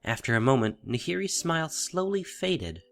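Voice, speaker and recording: A man narrating a fantasy audio drama in a deliberate, theatrical storytelling style. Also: fairly high pitch, big pitch swings, vocal fry, a bit bright. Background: music.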